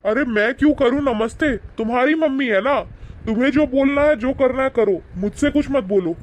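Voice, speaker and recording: A man acts out a young husband in a cartoon moral story, with a very high-pitched voice. He is angry and rude, scolding his wife and in-laws in an indignant, accusing way. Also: normal speed. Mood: rude, irritated. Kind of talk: animated moral-story dialogue.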